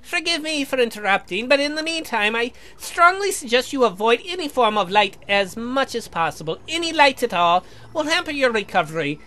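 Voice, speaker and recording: A man voicing a polite, pedantic young scientist in a visual novel, speaking formally and haltingly as he explains. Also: very high voice, big pitch swings, pushed and bright, voice pushed up, exaggerated voice. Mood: earnest advice. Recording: music behind the voice.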